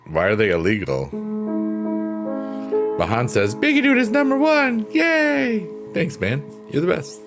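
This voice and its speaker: A man in his fifties with a deep bass voice, casually chatting with viewers as a livestream host in a relaxed, friendly, unhurried way. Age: 50s. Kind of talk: casual chat reading viewer comments. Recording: clear piano music behind. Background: chill piano music.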